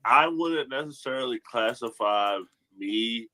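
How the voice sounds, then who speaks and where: A man on a discussion panel, answering in a measured, hesitant way. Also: medium pitch, lively intonation, pushed and bright. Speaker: panel guest.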